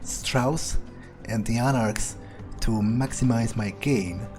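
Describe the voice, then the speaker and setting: A man's voice: mid-range voice, slow. A man giving in-character gameplay commentary, musing aloud in a sardonic manner. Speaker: gamer playing in character. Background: game music.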